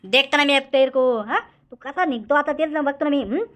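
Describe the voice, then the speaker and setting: Man voicing high-pitched taunting cartoon tough guy. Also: brisk, fairly bright tone. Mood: gloating, threatening. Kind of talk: Marathi comedy cartoon dialogue.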